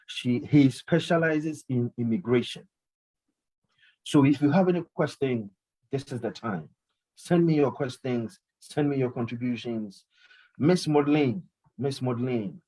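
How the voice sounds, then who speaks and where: A man's voice: medium pitch, a little slow, warm tone, low-quality recording. A man hosting a live call-in radio show, talking emphatically and often repeating his phrases. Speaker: radio host.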